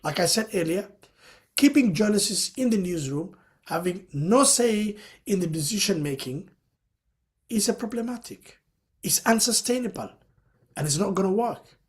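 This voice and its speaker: A man's voice: high-pitched, animated, normal speed. A man from Somalia, speaking as a panelist in a webinar discussion. He explains his points earnestly and fills his sentences with "you know".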